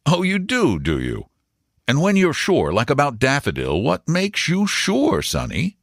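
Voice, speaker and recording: A man's voice: fairly high pitch, huge pitch swings, measured pace, gravelly, no echo, pitched above the performer's own voice, full character voice. A man voicing a wry, kindly middle-aged uncle in a storybook reading, with a dry, amused manner.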